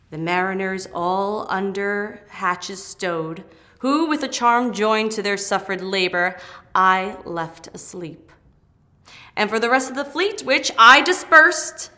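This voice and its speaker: Woman acting bright, slow-spoken, eager spirit servant. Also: mid-range voice. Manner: declamatory, emphatic. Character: Ariel, eager airy spirit servant, proud and dramatic. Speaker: actor in dramatic reading. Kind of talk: Shakespearean dramatic reading.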